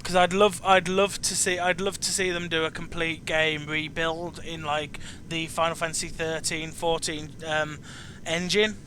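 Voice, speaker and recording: A man's voice: fairly high pitch, flat delivery, a little slow, bright, forceful tone, slight background noise. A man hosting a casual chat about video games, speaking hesitantly and often repeating and restarting his phrases. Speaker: chat show host interviewing a guest.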